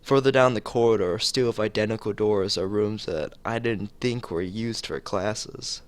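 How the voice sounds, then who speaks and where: Low-voiced man reading a game aloud. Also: moderate pace, no room sound. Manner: stumbles over words and rereads phrases. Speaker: streamer reading a visual novel aloud.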